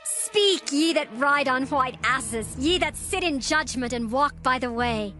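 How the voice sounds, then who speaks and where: A woman's voice: high-pitched, animated, a little slow, bright, forceful tone, soft background music, exaggerated voice. A woman playing a solemn prophetess who declaims a victory hymn in dramatized scripture. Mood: exhorting.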